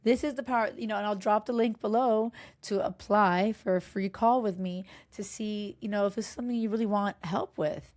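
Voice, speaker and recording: A woman in her thirties, hoarse from a cold, giving frank, chatty relationship advice as a coach, with plenty of fillers and restarts. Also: medium pitch, moderate pace, hardly any pauses, a little mellow. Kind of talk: casual direct-to-camera advice talk.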